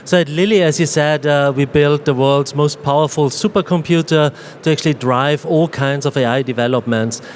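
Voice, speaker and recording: A man from Austria with an Austrian accent, answering as a panelist in a fluent, explanatory way and slowing on key terms. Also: on the high side, slight background noise. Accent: Austrian German. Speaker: panelist, AI executive.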